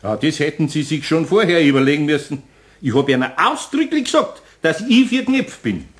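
Lively Bavarian master carpenter. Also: male voice, fairly high pitch, a little fast. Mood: exasperated. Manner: homely, plain-spoken, grumbling. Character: good-natured, grumbly older master carpenter. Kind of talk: German children's radio play.

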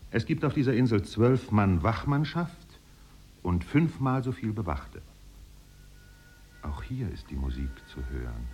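An actor narrating a 1950s German radio play as a solemn, contemplative storyteller with measured, poetic delivery. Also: male voice, fairly low pitch, very animated, a little mellow, faint music behind. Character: solemn, contemplative male storyteller of island exiles. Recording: faintly noisy 1950s radio recording.

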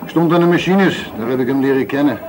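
An easygoing working man acted out by a man in a radio drama, speaking casually and a little evasively, with hesitations. His pitch is medium, and busy café chatter runs behind him. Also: quick pace, close to an everyday voice, rough recording. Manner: casual, hesitant, vague in answering. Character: evasive, easygoing factory worker, café regular. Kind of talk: Dutch radio play acting.

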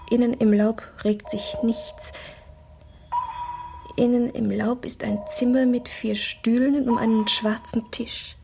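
An actress playing an anxious young woman in a radio drama, speaking in a hushed, halting inner monologue that is often whispered. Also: a little high, vocal fry, noisy background. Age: young adult.

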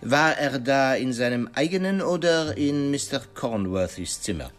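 An actor playing a shrewd, self-satisfied detective in a German radio crime drama, courteous and deliberate in his questioning. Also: mid-range voice, expressive, faint music behind, natural voice. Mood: probing, polite.

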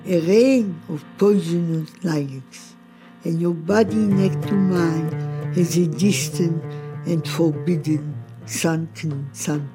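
A woman recites poetry in a low voice. She takes it very slowly, line by line, as music plays clearly underneath. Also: poor audio. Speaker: author reading own poetry. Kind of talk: slow poetry recital in radio drama. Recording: radio production with music underneath.

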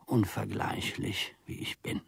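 An actor in a radio play voicing a grumbling, self-important old manservant who muses to himself theatrically in old-fashioned words, gravelly and husky. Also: male voice, unhurried, no room sound, clean studio sound, lightly acted. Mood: grumbling, scornful. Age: older.

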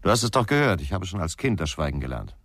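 A brooding middle-aged ex-policeman in a radio crime play. The voice is deep and creaky, recorded very dry. He is tipsy and ruminates haltingly. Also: very animated, fairly bright tone, no room sound, full character voice. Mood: wary, terse. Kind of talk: German radio crime drama acting.

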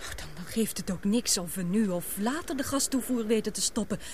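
A woman's voice: medium pitch, lively intonation, a little fast, a little mellow, lightly acted. Woman acting an earnest young lady in a radio play, hesitant, full of questions, slightly noisy. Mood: doubtful, worried.

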